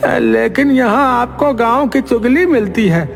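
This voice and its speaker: Man acting a high-pitched scheming village barber. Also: a little slow, gravelly, faint music behind, full character voice. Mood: dismayed, whiny. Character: scheming, gossip-peddling village barber, excitable and cunning. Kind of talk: Hindi cartoon moral-story acting.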